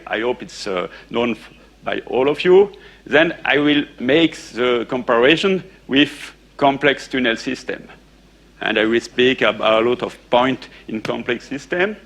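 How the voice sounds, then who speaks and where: A man lectures a conference audience in English. He talks haltingly and restarts his sentences often, with plenty of uh and eh. Now and then he stops to ask the room a question. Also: mid-range voice, fairly bright tone. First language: French. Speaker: conference speaker.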